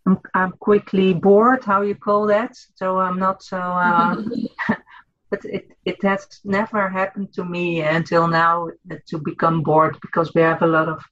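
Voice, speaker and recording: A Dutch-accented woman in her fifties, a podcast guest, speaking haltingly with many fillers and restarts. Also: lowish voice, level tone, fairly bright tone. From Netherlands. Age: 50s. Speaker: guest, cooperative chairwoman. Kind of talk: podcast interview. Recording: dull lo-fi audio.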